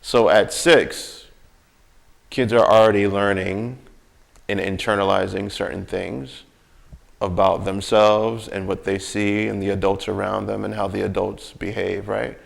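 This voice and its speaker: An American man with a fairly low voice and a gravelly creak. He talks in a casual, reflective way, thinking through personal experience and often tagging his points with little checks for agreement. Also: level tone, a little slow. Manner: conversational, often seeking agreement with tag questions.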